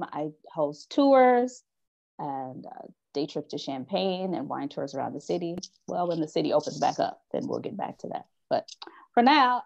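A woman from Chicago with an American accent, a wine tour guide chatting on a video call in a relaxed, joking way. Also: lowish voice, creaky, dull audio. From Chicago, United States. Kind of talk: casual video call chat. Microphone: laptop video call.

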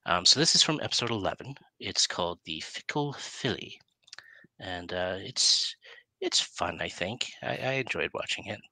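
A middle-aged man hosting a casual interview over a headset, with halting, hesitant phrasing. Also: sits low, expressive, gravelly, sharp tone, no echo, low-quality recording. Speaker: interview host. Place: indoor room. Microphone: headset on a video call.

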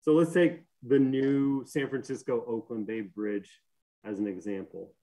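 A man's voice: mid-range voice, steady tone, a little slow, warm tone, rough recording. Young man presenting over a video call. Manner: many fillers and restarts, earnest explaining tone. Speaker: conference speaker, systems engineer. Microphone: webcam or laptop mic on a video call.

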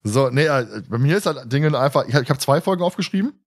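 A man's voice: medium pitch, expressive, quick pace. A man hosting a podcast in a casual, chatty way, often chuckling as he tells stories. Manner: chatty, often chuckles while telling anecdotes. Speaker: podcast host.

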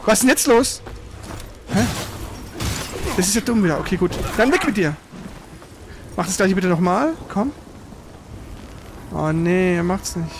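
A man with a high voice commentates his gameplay in a casual, colloquial way, muttering reactions as he goes. There is clear noise behind him. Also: expressive, a little slow, long pauses. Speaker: gaming streamer, player. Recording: noisy stream with game sound behind.

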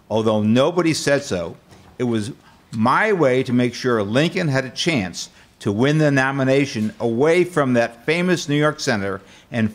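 A man as shrewd American political organizer, medium-pitched. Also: natural voice. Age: 60s. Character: shrewd 19th-century Illinois political organizer, male. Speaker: amateur radio play actor. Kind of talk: scripted first-person historical monologue. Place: lecture hall stage before a seated audience. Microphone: podium microphone.

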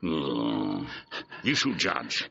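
An actor playing an earthy, aggrieved clownish servant in a classical comedy audio drama, rambling theatrically. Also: male voice, rumbling low, huge pitch swings, extremely slow, creaky, fairly bright tone, husky, dry recording, full character voice. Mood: aggrieved, confiding. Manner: rambling, theatrical, conversational soliloquy with mimicked voices. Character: comic male clownish servant scolding his dog, aggrieved, earthy. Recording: dull, slightly noisy restored old recording.

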